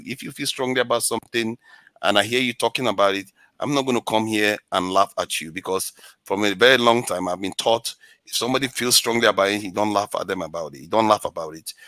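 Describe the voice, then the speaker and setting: A man's voice: mid-range voice, pushed and bright, no echo. A male anchor and commentator on an online panel, talking in an aggrieved, insistent way. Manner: aggrieved, insistent, stressing words.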